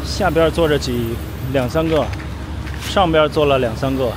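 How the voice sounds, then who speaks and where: A man's voice: a little high, moderate pace. A man walking a busy city street, giving chatty, wry running commentary for a travel vlog. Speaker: travel vlogger. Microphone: handheld camera carried while walking. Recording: street traffic noise behind. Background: traffic and motorbike noise.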